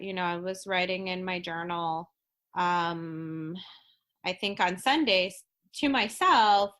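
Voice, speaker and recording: A woman in her late thirties, a podcast guest speaking in a halting, heartfelt way with many restarts and fillers. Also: on the low side, fairly bright tone. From Florida. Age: 30s. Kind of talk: casual podcast conversation.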